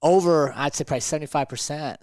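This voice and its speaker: A man's voice: a little high, fast, a little mellow, dry recording. A man talking conversationally as a motivational podcast host and coach, emphatic and fond of rhetorical questions.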